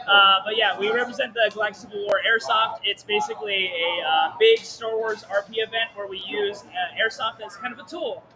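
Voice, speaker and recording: Polite guest with a strained voice. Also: male voice, high-pitched, fairly even intonation, a little fast, few pauses, a crowd in the background, rough recording. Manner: polite, hesitant with many ums. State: voice strained, throat tired. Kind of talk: livestream interview. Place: outdoor event. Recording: clear background event noise.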